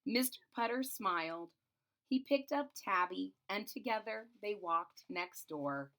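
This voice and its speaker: A woman's voice: medium pitch, lively intonation, measured pace, a bit bright. A woman with a mid-range voice reads a children's picture book aloud. She draws out phrases expressively for emphasis. Now and then she steps out of the text to explain things to her listeners as host. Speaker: storybook reader and channel host.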